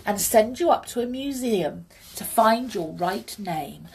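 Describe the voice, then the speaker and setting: A woman's voice: mid-range voice, expressive, measured pace, vocal fry, distant mic, voice pushed up, rough recording. A woman voicing a sceptical, bossy young boy in a children's story, brusque and insistent. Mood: obstinate, dismissive.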